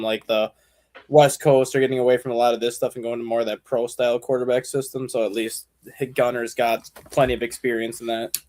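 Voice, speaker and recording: A man on a sports talk panel speaks at a middle pitch over a video call microphone. He lays out his analysis in a measured, steady way during casual chat.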